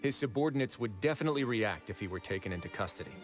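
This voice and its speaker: An actor playing a shrewd, composed duke in a video game, speaking with measured authority. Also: male voice, on the low side, animated, natural voice. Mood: deductive, confident. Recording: game music behind the voice.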